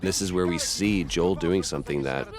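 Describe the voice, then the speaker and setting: Really really deep voiced guy doing reflective commentary on a story. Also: normal speed. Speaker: actor commentator.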